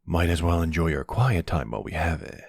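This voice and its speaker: A man playing a protective, doting middle-aged mafia boss in an intimate roleplay, speaking slowly with pet names in a gruff, husky voice. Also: expressive, no room sound, clean studio sound, heavily acted. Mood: wary, calm. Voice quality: low gravelly, husky. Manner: slow, affectionate, uses pet names. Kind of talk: intimate ASMR boyfriend roleplay.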